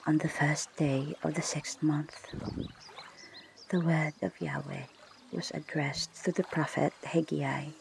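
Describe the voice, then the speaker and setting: Slow, whispering woman in ASMR prayer. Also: low voice, fairly even intonation, slight background noise. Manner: hushed, breathy whispering, unhurried and deliberate. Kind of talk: whispered ASMR guided prayer and scripture reading. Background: ocean water ambience.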